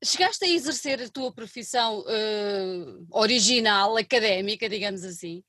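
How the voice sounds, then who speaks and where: A woman hosting an interview, asking questions with lively exclamations. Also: mid-range voice, moderate pace. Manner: warmly enthusiastic, frequent exclamations and laughter. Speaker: interview host. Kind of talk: casual interview conversation.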